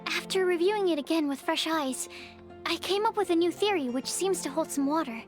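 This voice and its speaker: A woman's voice: very high voice, a little fast. A woman playing a shy, studious young female alchemist in a video game, speaking in a hesitant, careful, analytical way. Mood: earnest, polite. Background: music.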